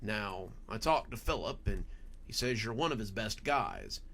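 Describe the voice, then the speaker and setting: Man as a stern, measured general manager, gravelly. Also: lowish voice, expressive, a bit bright, full character voice. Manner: measured, weighing words. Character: stern, careful male general manager, serious and official. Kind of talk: audiobook horror story dialogue.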